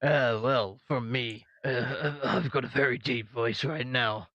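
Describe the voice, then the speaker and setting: A man co-hosting a casual commentary show, presenting in a brisk, upbeat way. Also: mid-range voice, steady tone, sharp tone, no echo. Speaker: co-host. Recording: dull, dry lo-fi recording.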